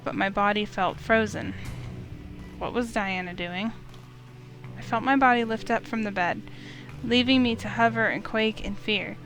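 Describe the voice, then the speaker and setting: A woman reading a visual novel aloud as an expressive, dramatic narrator. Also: mid-range voice, rough recording. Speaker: let's play streamer. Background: music in the background.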